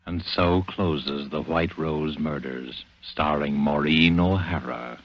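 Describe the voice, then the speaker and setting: A man's voice: extremely low pitch, takes it slowly. A man giving a solemn, formal scripted sign-off as narrator of a 1940s radio show. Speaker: announcer and narrator. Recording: muffled old radio broadcast recording. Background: loud orchestral music.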